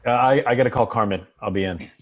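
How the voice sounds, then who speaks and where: A man acting a troubled, reluctant former doctor in a drama, halting and understated. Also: mid-range voice, muffled recording, lightly acted. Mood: subdued. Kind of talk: dramatic table read.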